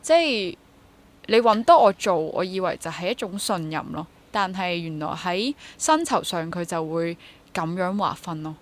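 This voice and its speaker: A woman's voice: lowish voice, expressive, normal speed. A young woman with a Hong Kong Cantonese accent talking casually as an interview guest, with English words mixed in. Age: young adult. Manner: mixes English words into speech. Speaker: interview guest, stage actor.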